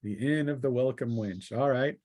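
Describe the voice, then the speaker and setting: A man narrating a tabletop fantasy game as game master, conversational and descriptive, often pausing mid-sentence as he improvises. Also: medium pitch, a little mellow.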